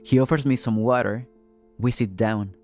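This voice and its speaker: A man's voice: medium pitch, fairly even intonation, normal speed, darkish tone. A man hosting and reporting a podcast, reading scripted first-person narration. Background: music.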